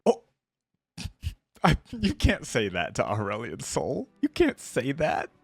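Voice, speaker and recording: A man hosting a reaction video, giving casual, rambling gaming commentary as he thinks out loud. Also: medium pitch, wildly swooping pitch, slow, creaky, slightly rough, no room sound, soft background music.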